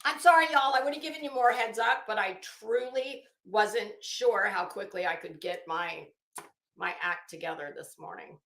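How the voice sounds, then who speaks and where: A woman's voice: medium pitch, normal speed, fairly bright tone. Gossipy woman chatting in an echoey room. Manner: gossipy, chuckling, coy asides. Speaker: livestream host. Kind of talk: casual chat with live viewers. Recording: roomy, echoey room sound.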